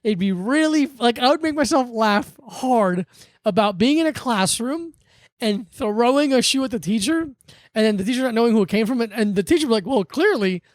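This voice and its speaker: A man's voice: very high voice, animated, a little fast, no echo. A man co-hosting a podcast, riffing through casual comedic chat and laughing often. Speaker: podcast co-host.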